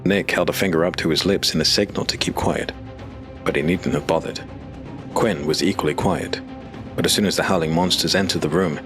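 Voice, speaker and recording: A man narrating a dark fantasy audio drama in a measured, steady storytelling voice. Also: extremely low pitch. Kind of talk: scripted narration. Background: music.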